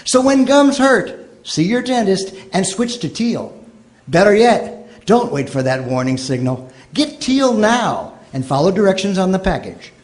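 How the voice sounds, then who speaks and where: A male announcer reads in the style of vintage radio. His voice is high for a man and very lively, rising and falling widely through scripted copy. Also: measured pace. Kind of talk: old-time radio commercial and scripted narration.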